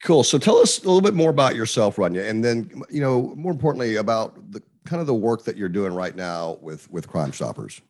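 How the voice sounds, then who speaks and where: Man, podcast host, low voice, lively pitch, relaxed, upbeat, short friendly questions. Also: fairly quick, hardly any pauses. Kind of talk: casual interview chat.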